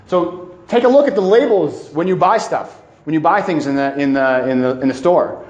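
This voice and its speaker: Higher-voiced man lecturing in an echoey room. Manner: emphatic, repeats key phrases. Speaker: presenter giving a climate talk. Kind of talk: informal educational lecture to a live audience.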